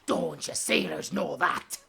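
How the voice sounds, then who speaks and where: A woman's voice: low-pitched, lively intonation, slow pace, gravelly, bright, forceful tone, slightly rough, heavily acted. A woman playing a menacing, gloating pirate boss in a theatrical, taunting drawl in an audio drama. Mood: threatening.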